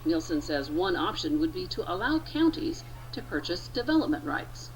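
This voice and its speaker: An American woman delivers scripted narration for a science news report. Her voice sits a little low and moves with lively, varied intonation. Faint noise runs behind her. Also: moderate pace, rough recording.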